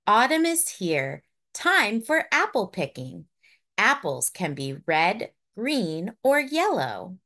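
Slow, expressive woman reading a picture book. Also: mid-range voice. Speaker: children's book read-aloud narrator. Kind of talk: scripted children's picture book narration.

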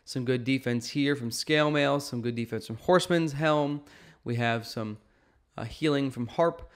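Clear-voiced man commentating on gameplay. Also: medium pitch, moderate pace. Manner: emphatic, checks in often with "okay" and "right". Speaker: gaming video host. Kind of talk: casual game strategy commentary. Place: indoor room at home.

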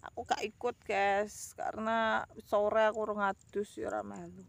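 A woman's voice: mid-range voice, little pitch movement, normal speed, vocal fry. A woman in her thirties with a Javanese ngapak accent, chatting to viewers in a casual vlog, rambling and calling them guys, filmed outdoors on a phone held at arm's length. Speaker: vlogger. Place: outdoors by rice fields.

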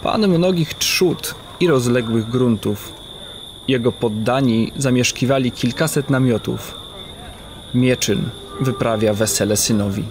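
A man's voice: medium pitch, measured pace, slight background noise. A man narrating a scripted legend in a literary, dramatic storytelling manner.

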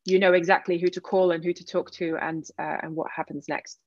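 Low-voiced woman on a video call. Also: brisk, no echo, highs cut off. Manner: relaxed, many fillers. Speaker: guest speaker. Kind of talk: online seminar talk.

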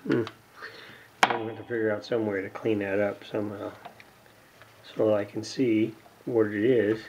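A man giving unscripted, casual narration of hands-on repair work, halting and muttering to himself as he goes. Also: lowish voice, little pitch movement, darkish tone, muffled recording, a little hiss or hum. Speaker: hobbyist radio repairer, video host. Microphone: camera mic near the workbench.

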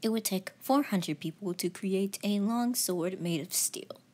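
A woman's voice: mid-range voice, unhurried, a little mellow, close to an everyday voice. A woman voicing a serious, pedantic, bespectacled man in a comic dub, speaking precisely and formally. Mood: matter-of-fact. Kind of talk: fan comic dub reading.

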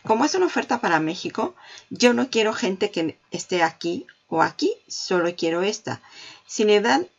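A woman hosting a marketing tutorial, walking the viewer through software on screen and talking straight to them. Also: mid-range voice, sing-song, moderate pace, gravelly, muffled recording. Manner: explanatory, addresses viewer directly. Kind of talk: screen-recorded software walkthrough.

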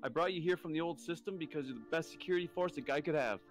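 A man's voice: a little high, fast talker, soft background music, lightly acted. A man playing an easygoing, confident creator of a digital world in an amateur sci-fi audio drama. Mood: reassuring.

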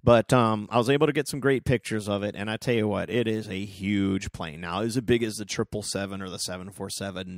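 A middle-aged man talks on his own podcast in a loose, rambling way, tripping over his words and restarting his sentences. His voice is on the low side and a touch hoarse. The recording is dry and close, with no room sound. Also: no room sound. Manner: rambling, frequent restarts and stammered repeats. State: slightly hoarse. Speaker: podcast host. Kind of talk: casual solo podcast monologue.

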